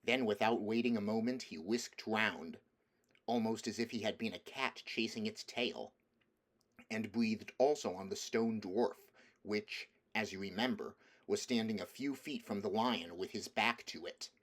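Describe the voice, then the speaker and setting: A man with a fairly low voice reads a storybook aloud. It is scripted narration, delivered as an audiobook reader would. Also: measured pace.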